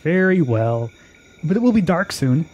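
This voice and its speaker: An actor playing a proud, stubborn warrior in a fantasy radio drama, speaking in a brusque, challenging way. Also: male voice, on the high side, big pitch swings, measured pace, a little mellow, slightly rough, full character voice. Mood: firm, urging.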